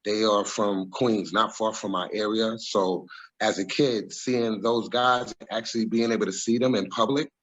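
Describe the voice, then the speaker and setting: A man, speaking on a video call as an interview guest. His voice sits very low and sounds husky. He reflects on the past at length, pausing with ums and often correcting himself. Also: fairly even intonation, a bit bright, dull audio. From New York, USA. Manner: rambling, reflective, frequent ums and self-corrections. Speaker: interview guest, former music manager. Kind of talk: casual storytelling interview. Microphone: video call webcam.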